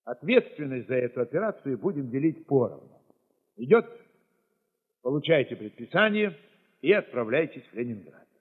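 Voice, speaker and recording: A blustering army commissar, played by a male narrator, with a husky voice and emphatic, exclamatory delivery. The sound is dulled and carries a long reverb, as in an audio play. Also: medium pitch, very animated, narrow, tinny audio. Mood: relenting. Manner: exclamatory, emphatic, drawn-out address. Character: gruff, blustering army regimental commissar, protesting then relenting. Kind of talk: audio drama dialogue.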